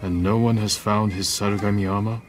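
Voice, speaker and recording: A disciplined samurai from a video game cutscene, acted by a man. His voice is fairly low, and he talks in a measured, serious, commanding way, with music playing behind him. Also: fairly even intonation, lightly acted. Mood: calm, probing. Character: stoic, disciplined adult male samurai warrior.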